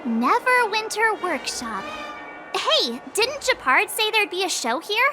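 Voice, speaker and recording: A woman's voice: extremely high pitch, sing-song, unhurried, music in the background, exaggerated voice. A woman voicing a perky, curious young girl adventurer in a video game, bubbly, casual and outspoken. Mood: chatty, puzzled.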